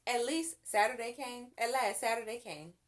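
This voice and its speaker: A woman's voice: on the high side, moderate pace. A woman reading a children's story aloud expressively, as if to young listeners. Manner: expressive, with character voices and asides to listeners.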